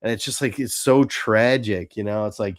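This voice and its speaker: A man's voice: on the low side, normal speed. A man co-hosting a casual fan chat, speaking in an earnest, conversational way. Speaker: podcast co-host.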